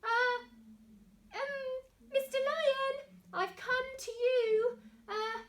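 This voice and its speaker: A woman's voice: very high-pitched, steady tone, extremely slow, a bit bright, voice pushed up, heavily acted. A woman voicing a small, polite male mouse from a picture book, nervous and hesitant but eager. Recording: echoey room.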